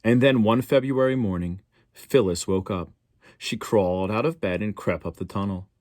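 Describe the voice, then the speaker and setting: A man's voice: lowish voice, moderate pace, a little mellow, no echo, clean studio sound. A man reading a picture book aloud expressively, as a storyteller for schoolchildren.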